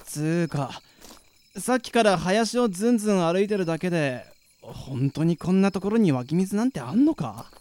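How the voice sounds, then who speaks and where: A man's voice: sits high, animated, moderate pace, a little mellow. A man playing an impulsive, sensitive young man with colloquial, emotive speech in a radio drama. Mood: brash. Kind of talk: amateur radio drama acting. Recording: echoing reverb, music underneath.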